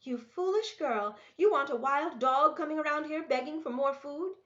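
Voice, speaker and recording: A woman's voice: high-pitched, moderate pace, pitched above the performer's own voice. A woman voicing a stern, worried mother who scolds sharply in a storybook. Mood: exasperated. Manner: sharp, exasperated. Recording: roomy, echoey, dull lo-fi sound.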